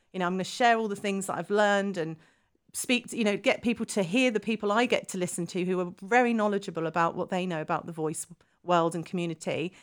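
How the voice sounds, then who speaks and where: A woman's voice: medium pitch, clean tone. Woman, podcast host, singing teacher, friendly, earnest. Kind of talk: casual interview chat.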